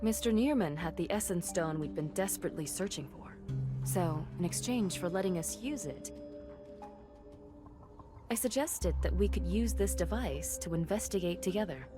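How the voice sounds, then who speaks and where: A woman's voice: on the low side, expressive, normal speed, music in the background, close to an everyday voice. A woman playing a confident, businesslike investigator in a video game, matter-of-fact and self-assured. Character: confident, businesslike female investigator with a dry edge. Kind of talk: scripted video game cutscene acting.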